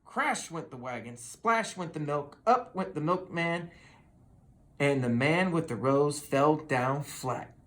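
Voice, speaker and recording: A storybook reader tells a children's tale aloud. The pitch moves around in a lively way, and the delivery is expressive and dramatic. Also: slow. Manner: expressive, with dramatic sound words.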